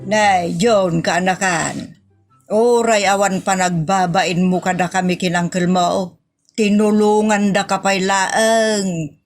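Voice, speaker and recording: A woman's voice: medium pitch, steady tone, slow, soft background music. A woman with an Ilocano accent playing an exasperated, scolding woman of the household in a comedy audio drama, full of exclamations.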